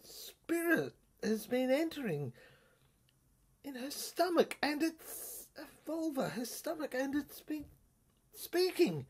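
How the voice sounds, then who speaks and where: A woman's voice: high-pitched, sing-song, takes it slowly. A woman giving a dramatic reading of an experimental audio screenplay in short, broken, theatrical phrases.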